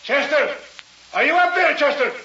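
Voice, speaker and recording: A man's voice: very high-pitched, lively intonation, normal speed, sharp tone, heavily acted. A man acting as an arrogant, coldly rational scientist and domineering husband in a vintage radio drama, with a pompous, lecturing manner. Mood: alarmed shouting. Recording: muffled, hissy vintage radio recording.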